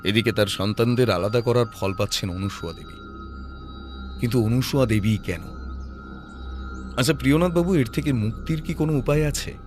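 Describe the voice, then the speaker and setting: An actor playing a shrewd, composed male detective who reasons in a deliberate, analytical way in an audio drama. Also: mid-range voice, darkish tone, soft background music, lightly acted. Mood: deducing, decisive.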